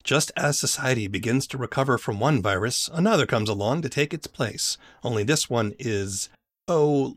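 A man hosting a podcast, reading a scripted introduction in a measured narrating style. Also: mid-range voice, fairly bright tone. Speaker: podcast host.

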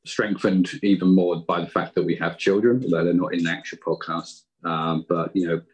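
A man's voice: low-pitched, level tone, moderate pace, breathy edge, muffled recording. A man with a British accent chatting casually as an interview guest. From United Kingdom. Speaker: podcast writer and guest.

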